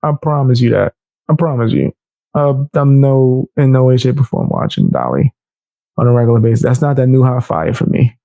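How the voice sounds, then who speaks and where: A man's voice: mid-range voice, lively intonation, moderate pace, creaky, mellow, no echo, rough recording. A man hosting a casual reaction commentary, loose and laughing, often repeating himself and calling people bro. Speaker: commentary YouTuber.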